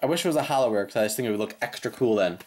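A woman with a slightly raspy voice doing casual, playful unboxing commentary with sing-song call-outs as a host. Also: very deep voice, rapid-fire, a little mellow, a little hiss or hum. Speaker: host of trading card pack openings. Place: indoors at a tabletop.

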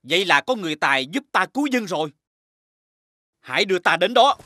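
Casting: folk-tale king, authoritative and stately, lively bright voice, dry studio sound. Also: high-pitched, brisk, no echo, exaggerated voice. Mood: resolute. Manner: stately, commanding. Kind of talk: animated fairy-tale dialogue.

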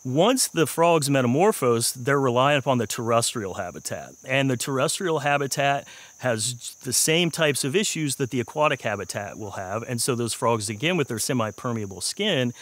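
Male researcher, forties, mid-range pitch, measured explanatory delivery for a nature documentary interview. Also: animated. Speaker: wildlife researcher. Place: outdoors in woodland.

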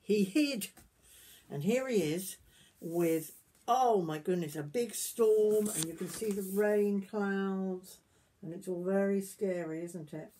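A woman in her sixties reads a picture book aloud as a granny would to young children, adding gentle questions and asides to them. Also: mid-range voice, slow, a little hiss or hum. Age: 60s. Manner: gentle questioning, addressing young listeners directly. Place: small wood-panelled garden room, indoors.

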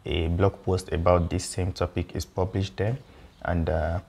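A man's voice: sits low, flat delivery, unhurried, gravelly, darkish tone, slightly rough. A young Nigerian man in his twenties with a Nigerian accent, presenting an explainer video for international students in a conversational way, with fillers and reassuring asides. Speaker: YouTube educator for international students. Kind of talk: explainer video walking through slide topics. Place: indoor room with a pool table and windows.

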